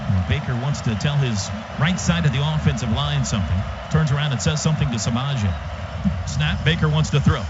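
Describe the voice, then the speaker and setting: Male football play-by-play caller, high register, punchy and clipped, over loud stadium din. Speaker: play-by-play radio announcer. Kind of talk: live football play-by-play commentary. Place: stadium radio booth. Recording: dull radio broadcast, loud stadium noise. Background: loud stadium ambience.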